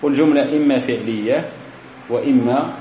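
A man's voice: medium pitch, moderate pace. Male classroom teacher, patient and methodical, recorded in a roomy hall with faint hiss. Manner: methodical, repeats key phrases, quizzes students. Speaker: grammar teacher. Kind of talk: classroom grammar lecture. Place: lecture hall. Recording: echoey hall, dull lo-fi recording.

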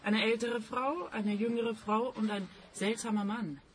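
A woman's voice: on the high side, steady tone, measured pace, a little hiss or hum, close to an everyday voice, rough recording. A young woman playing a bored but chatty country-inn waitress in a radio play, speaking in short, laconic sentences. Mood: matter-of-fact. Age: young adult.

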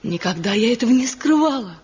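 A woman acting an anguished, pleading young wife in a radio drama, devoted, with a husky, breathy voice. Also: on the high side, animated, fairly quick, darkish tone. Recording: muffled 1970 radio drama recording, music behind.

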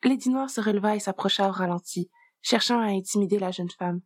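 A woman narrating a fan story in a calm, even storytelling manner. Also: medium pitch, brisk, no room sound, studio quality. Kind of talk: reading a fan story aloud.

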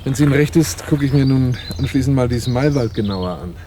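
An actor playing a wary, plain-spoken young bodyguard in a German radio play, both in dialogue and as narrator. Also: male voice, mid-range voice, expressive, a little fast, mellow, natural voice. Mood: composed. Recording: faint noise, 1980s radio play.

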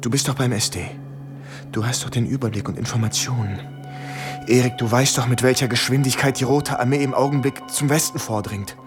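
An actor playing an anxious, pleading young American soldier in a radio drama, narrating and speaking in a tense way. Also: male voice, medium pitch, fairly even intonation, fairly quick, close to an everyday voice. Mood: urgent, persuading. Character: cornered young American soldier John, anxious, pleading, posing as German officer. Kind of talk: radio drama acting and first-person narration. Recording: studio radio play with music underneath.

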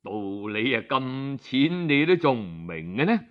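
A man with a Cantonese accent voicing a shrewd old minister in a story, knowing, deliberate and rhetorical. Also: mid-range voice, big pitch swings, muffled recording, voice pushed down. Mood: knowing, scornful.

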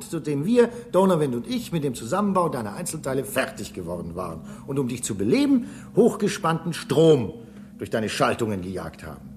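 A man's voice: on the high side, a little hiss or hum. An actor playing a jaded male space station engineer in a radio play, casual, offhand and mildly condescending. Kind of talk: German radio play acting.

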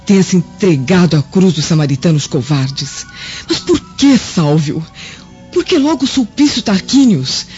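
An actress playing a bitter, haughty Roman wife in a Brazilian radio drama, with an emphatic, melodramatic delivery. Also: female voice, medium pitch, darkish tone, natural voice. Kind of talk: radio drama acting in Portuguese. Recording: dull lo-fi radio recording, music behind.

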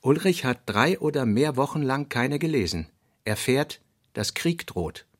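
A man with a gravelly voice reading scripted literary narration for a radio drama. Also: mid-range voice, big pitch swings, a little slow, dry recording, studio quality.

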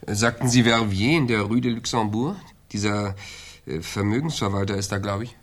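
A man's voice: lowish voice, moderate pace, close to an everyday voice. An actor playing an observant, skeptical police assistant who reports matter-of-factly to his chief in a 1970s German radio play. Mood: doubtful. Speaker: actor in a radio crime drama.

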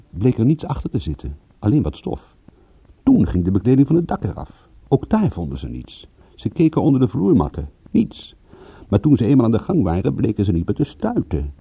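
A man playing a wry private detective narrating his case in a radio drama, measured and dryly ironic. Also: lowish voice, vocal fry, slightly rough, no echo, full character voice. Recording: very muffled, dull old radio recording.

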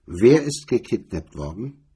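A man voicing a cool, casual, sardonic hardboiled investigator with a husky voice in a crime audiobook. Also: lowish voice, sing-song, measured pace, mellow, exaggerated voice. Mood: commanding.

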